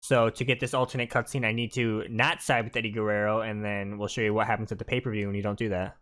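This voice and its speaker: A man's voice: lowish voice, little pitch movement, fast talker, a bit bright. A man hosting a gaming video with casual, chatty commentary. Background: faint music.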